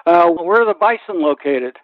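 A man's voice: high-pitched, animated, normal speed. A man hosting a conversational interview, asking questions and introducing guests. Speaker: interview host. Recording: thin, phone-like radio sound.

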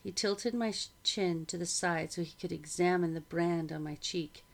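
A woman reading a novel aloud as a narrator, with a measured delivery. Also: lowish voice, creaky. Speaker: audiobook reader. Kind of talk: scripted story narration.

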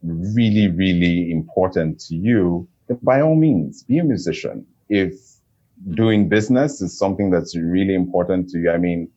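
A man's voice: sits low, animated, moderate pace, mellow, muffled recording. A man giving earnest, encouraging advice in an interview, reflective and sincere.

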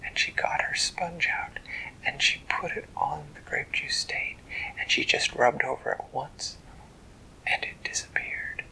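A parent telling a bedtime story in a hushed, gentle, unhurried way. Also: medium pitch, wildly swooping pitch, gravelly, whispered, poor audio.

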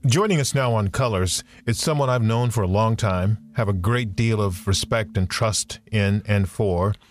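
A man hosting an interview podcast, speaking in a measured, deliberate way. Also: on the low side. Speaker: podcast host.

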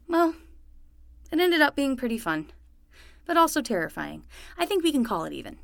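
A woman's voice: high voice, big pitch swings, normal speed, vocal fry, no echo, studio quality, full character voice. A woman playing a young summer nanny, patient and wry, talking casually and frankly in a naturalistic fiction podcast.

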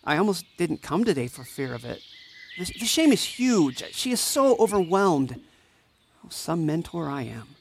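A woman's voice: lowish voice, very animated, moderate pace, slight background noise. A woman playing an empathetic, anxious mentor and counselor in a fiction podcast drama, sincere and self-doubting. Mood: distressed.

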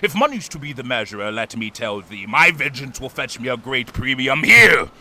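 An actor playing a vengeful old one-legged whaling captain, grandiose and oratorical, in a theatrical audio drama. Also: male voice, mid-range voice, lively intonation, moderate pace, sharp tone, a little hiss or hum. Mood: fierce, rousing. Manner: grandiose, oratorical, brooding to thundering.

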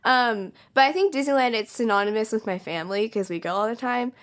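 A woman's voice: a little high, fast talker. A young woman from California, co-hosting a college podcast with bubbly enthusiasm and lots of casual "like"s. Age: young adult. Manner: bubbly, enthusiastic, frequent "like" and laughs. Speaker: podcast co-host.

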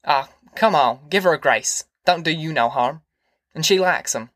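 A woman's voice: sits low, lively intonation, normal speed, a bit bright, dry recording, studio quality. A woman voicing an easygoing young man just out of prison, with a folksy rural drawl. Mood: coaxing.

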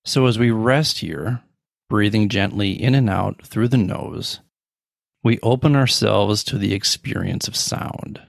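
A middle-aged man guides a meditation in a low voice with plenty of vocal fry. He speaks calmly in slow, measured phrases and leaves long pauses between them. The sound is close and dry, with no room echo.

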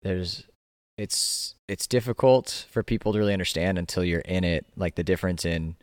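Male host, very low voice, dry close sound, loose rambling conversational delivery. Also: moderate pace, dry recording. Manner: rambling, many restarts and fillers. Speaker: podcast host, gym owner. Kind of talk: casual interview chat.

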